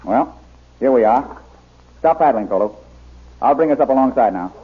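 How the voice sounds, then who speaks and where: An actor playing a brave, plain-spoken jungle hero in a radio adventure serial, earnest, courteous and steady. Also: male voice, medium pitch, normal speed, husky. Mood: steady, easygoing. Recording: muffled old radio broadcast recording.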